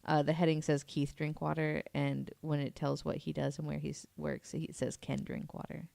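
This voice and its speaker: A woman's voice: low voice, little pitch movement, creaky, dark tone, no echo. A woman co-hosting a podcast in casual chat, laughing often, using lots of fillers, and reading from an article. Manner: reads article aloud haltingly. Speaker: podcast co-host presenting research.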